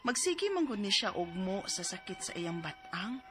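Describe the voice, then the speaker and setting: A woman's voice: medium pitch, very animated, moderate pace, music in the background, natural voice. A woman acting an anxious, fussing middle-aged mother with a Cebuano accent in a radio drama, exclaiming as she goes. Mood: earnest narrating.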